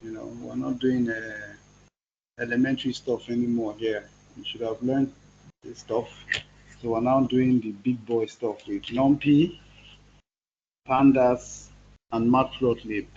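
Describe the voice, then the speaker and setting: A man's voice: mid-range voice, fairly even intonation, a little slow, long pauses, a little mellow, highs cut off, slight background noise. Male instructor with a cold. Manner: patient, spells out terms step by step. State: has a cold. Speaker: programming instructor. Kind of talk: live online class.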